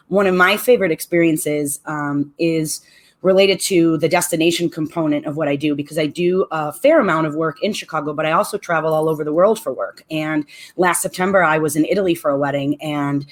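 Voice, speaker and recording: A middle-aged woman, a restaurant owner and event planner, answering radio interview questions in an articulate, measured way. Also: deep voice.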